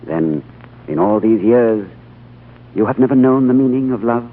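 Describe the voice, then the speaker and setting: An actor playing an earnest, thoughtful diplomat who also narrates, in a 1930s radio drama on an old broadcast recording. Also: male voice, medium pitch, expressive, moderate pace, lightly acted. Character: thoughtful male British diplomat narrating his tale. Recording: old phone-like radio broadcast, faint music.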